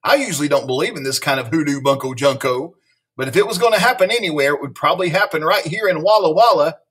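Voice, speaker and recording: A man's voice: fairly high pitch, expressive, fast talker, fairly bright tone, husky, far from the mic. A man voicing a bossy, conspiratorial woman school nurse in a storybook, urgent and emphatic with rhyming slang. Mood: decisive.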